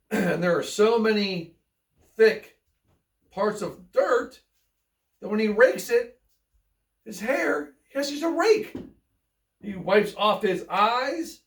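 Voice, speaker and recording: A man reading a children's picture book aloud in a folksy, playful way, adding chatty asides and repeating himself. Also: high-pitched. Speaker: bedtime story reader. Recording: roomy, echoey, distant microphone.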